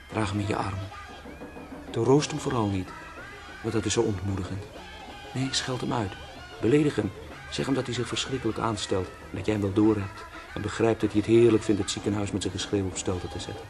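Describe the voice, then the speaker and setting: Actor as low-voiced drowning man, wry and rambling. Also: natural voice. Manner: wry, musing, rambling monologue. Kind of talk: Dutch radio play acting.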